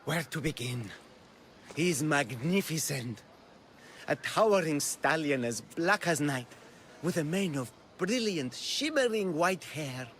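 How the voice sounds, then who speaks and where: A nervy soppy trader in a video game, kinda high voice, swoops around, effusive, bit of ambience behind. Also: male voice, lively intonation, unhurried, fairly bright tone, a little hiss or hum. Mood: adoring. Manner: pleading, wistful, effusive. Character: anxious, sentimental oil merchant doting on his horse. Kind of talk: dramatic video game dialogue.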